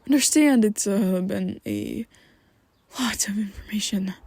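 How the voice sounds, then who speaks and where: A woman voicing a shy, tender young male vampire best friend in an ASMR roleplay, whispering softly with hesitant, halting speech. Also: medium pitch, wildly swooping pitch, dry recording, full character voice.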